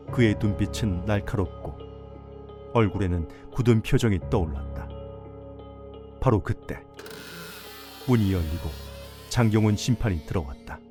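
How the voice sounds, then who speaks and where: A man narrates a suspense story in a fairly low voice. His scripted delivery is grave and deliberate. Music is clearly heard behind him. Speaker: drama narrator.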